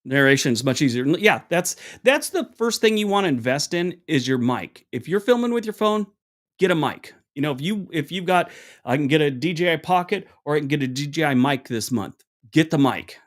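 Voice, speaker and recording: A man with a deep voice hosting a casual livestream, answering chat questions in a rambling, chatty way with many restarts. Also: expressive, brisk. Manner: acts out both sides of an anecdote with raised pitch. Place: room at home. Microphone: small wireless clip mic.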